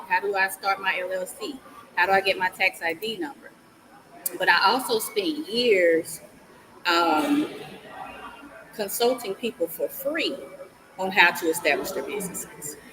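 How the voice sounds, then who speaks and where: Southern woman consultant, lowish voice. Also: low-quality recording. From Mississippi. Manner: frequent ums, explanatory. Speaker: guest, business consultant. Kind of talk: casual interview talk.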